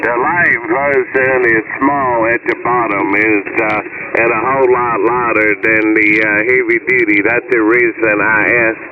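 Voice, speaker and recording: A man's voice: medium pitch, little pitch movement, a little slow, hardly any pauses. A man explaining things matter-of-factly in a casual chat over shortwave ham radio. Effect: shortwave SSB radio. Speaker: amateur radio operator. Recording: very noisy narrowband shortwave radio, distant.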